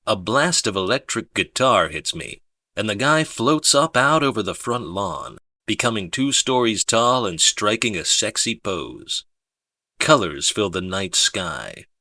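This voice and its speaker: A man narrating a first-person audiobook in a measured, understated way. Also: fairly low pitch, pushed and bright, no echo.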